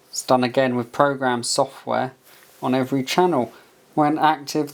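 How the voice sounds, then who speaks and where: A man doing a casual hands-on product review, muttering as he thinks aloud and reads bits from a manual. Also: mid-range voice. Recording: clear background noise with handling clicks.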